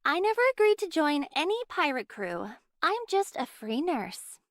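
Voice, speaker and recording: A woman voicing a calm, gentle and slightly aloof female nurse in an anime game. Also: high-pitched, normal speed, no room sound, clean studio sound, heavily acted. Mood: cool, matter-of-fact.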